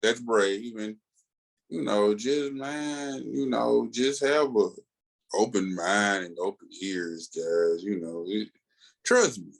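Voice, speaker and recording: A man with an American accent and a low voice co-hosts a casual podcast. He talks in slang and tells things in an animated way, often pausing on fillers as he finds the next thought. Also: vocal fry. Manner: slangy, animated storytelling with many filler pauses. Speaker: comics podcast co-host. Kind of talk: casual chat.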